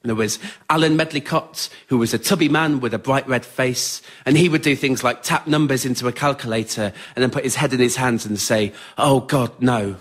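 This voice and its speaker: A young Englishman performs a solo spoken-word piece on stage. His mid-pitched voice has a wry, understated manner, and he measures out his scripted personal stories like a writer reading his own work. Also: moderate pace, few pauses, a little hiss or hum. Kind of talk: scripted autobiographical storytelling on stage. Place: radio theatre in front of a live audience.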